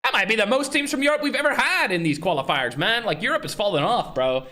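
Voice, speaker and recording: A man runs a live stream in a loose, brash chat style and talks straight at his viewers. His voice sits a bit high and sounds sharp and bright. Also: expressive. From Northern Ireland. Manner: brash, fast-flowing, talks straight to chat, trash-talking. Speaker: livestream host and tournament organizer.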